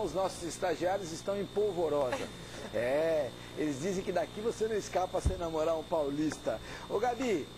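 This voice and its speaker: A man's voice: a little high, noisy background. A man hosting a sports talk show, teasing his guest with playful banter. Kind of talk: relaxed studio interview.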